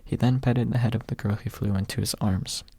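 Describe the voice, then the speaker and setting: Male audiobook reader for ASMR, mellow and gravelly, recorded close and dry. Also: on the low side, steady tone, fairly quick, dry recording. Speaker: audiobook narrator.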